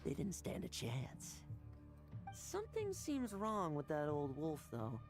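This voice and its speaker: A woman playing a brisk, cocky young female fighter in a fantasy game, challenging and wry. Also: low voice, huge pitch swings, loud music behind the voice, heavily acted. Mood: confident.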